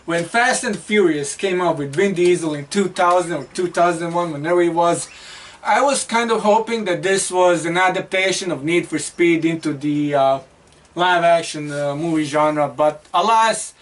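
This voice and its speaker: A man's voice: a little high, moderate pace, recorded from a distance. A man co-hosting a casual movie review chat, rambling with lots of fillers and restarts. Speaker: co-host.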